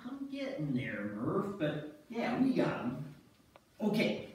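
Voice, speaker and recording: Folksy, careful fisherman played by a man, higher-pitched voice, amateur stage reading, very echoey room. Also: wildly swooping pitch, slow pace, darkish tone, exaggerated voice. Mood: brisk, checking. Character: Bob, cautious folksy ice fisherman running the checklist. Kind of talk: amateur reader's theater, read from script. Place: on stage in a church, audience seated. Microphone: far camera. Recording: echoey church hall, distant camera, faint noise.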